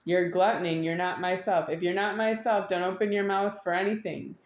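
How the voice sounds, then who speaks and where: A woman giving a rambling, unscripted monologue to an audience, halting at times with drawn-out words and insistent repetition. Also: fairly low pitch, level tone. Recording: echoey room.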